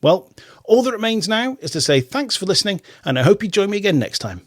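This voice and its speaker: A man's voice: high voice, very animated, fast talker. A middle-aged man hosting a theatre podcast, chatting casually and restarting on repeated words. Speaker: podcast host. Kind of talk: casual radio interview chat.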